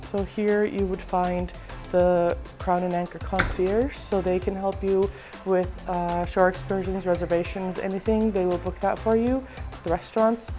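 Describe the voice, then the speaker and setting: Woman with a husky, sore-throat voice. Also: lowish voice, normal speed, faint music behind. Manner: relaxed, explanatory, frequent ums. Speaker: cruise vlogger giving a ship tour. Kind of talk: casual walking tour narration. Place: indoors, moving through a cruise ship.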